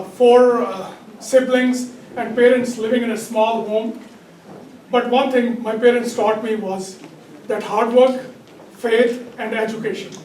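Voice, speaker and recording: A middle-aged man with a Pakistani accent delivers a halting campaign speech in a very high, hoarse voice. He is picked up from off in an echoey room with some background noise. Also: darkish tone. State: hoarse, bad throat. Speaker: political candidate. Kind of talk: campaign speech to a community audience. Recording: echoey hall, distant mic, background noise.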